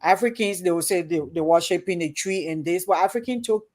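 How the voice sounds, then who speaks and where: A woman hosts an interview, talking in an earnest, halting way. She fills her sentences with ums and restarts as she works through her thoughts. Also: fairly low pitch, darkish tone. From Liberia. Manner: earnest, halting, many fillers. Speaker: host. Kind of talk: casual interview chat.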